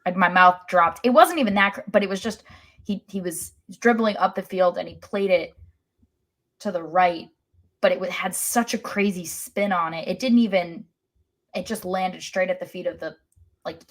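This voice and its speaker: A woman's voice: on the low side, normal speed, fairly bright tone. A woman co-hosting a sports podcast, describing soccer play casually and sometimes searching for her words.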